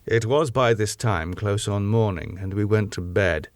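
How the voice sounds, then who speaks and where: A man's voice: lowish voice, measured pace, no room sound, clean studio sound. A man narrating a gothic novel in the first person, reading it as a scripted diary. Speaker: audiobook narrator.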